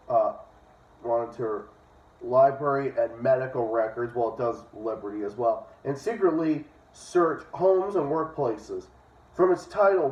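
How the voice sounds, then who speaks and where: Echoey, mellow-voiced man reading and ranting. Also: mid-range voice. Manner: profane, blunt, opinionated. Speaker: host reading a book aloud. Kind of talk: casual read-aloud with ranting commentary. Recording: dull, echoey room, distant from mic.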